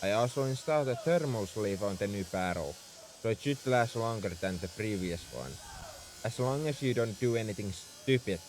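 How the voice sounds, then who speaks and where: A man's voice: on the low side, slight background noise. A man playing a tsundere mech engineer in a roleplay audio drama, scolding and sarcastic with worry underneath. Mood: dry, scolding. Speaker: voice actor.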